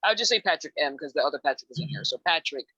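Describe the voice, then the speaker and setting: A woman's voice: low-pitched, expressive, sharp tone, slightly rough, no room sound, dull audio. A woman hosting a dating show call-in, chatty and upbeat, cheering each guest on. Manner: upbeat, answers each guest with a quick "awesome". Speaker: host. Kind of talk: casual chat, running a call-in dating show.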